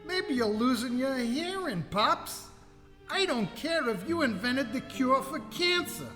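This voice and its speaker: A woman's voice: high voice, expressive, measured pace, a bit bright. A woman playing a tough, threatening security guard in a radio play, blunt and slangy. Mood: gruff, threatening. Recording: reverberant hall sound, music behind.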